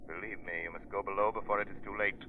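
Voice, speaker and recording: A man's voice: on the low side, steady tone, very fast, close to an everyday voice. A man playing a menacing invisible avenger in old radio drama, commanding and ominous. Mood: urgent warning. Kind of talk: 1940s radio acting. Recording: muffled, noisy old radio recording.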